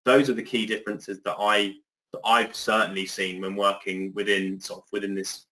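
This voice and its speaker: A man with an English accent, talking through a business topic in a loose, chatty way, full of fillers and restarts. His voice is bright and forward, pitched a little low, and comes through a video call. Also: level tone, moderate pace, a little hiss or hum, low-quality recording. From England. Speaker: webinar guest speaker. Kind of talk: conversational webinar discussion.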